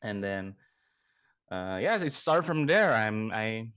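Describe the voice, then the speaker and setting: A man's voice: on the low side, very animated, slow pace, a bit bright, clean tone, no echo, clean studio sound. A young man from Indonesia, a guest artist chatting casually in an interview, rambling and correcting himself as he goes. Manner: rambling, self-correcting, laughs often.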